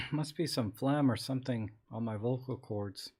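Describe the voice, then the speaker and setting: Man, live stream host, casual chat, rambling, slightly scratchy voice, medium pitch. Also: slow, gravelly, slightly rough. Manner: rambling, relaxed, many restarts and fillers.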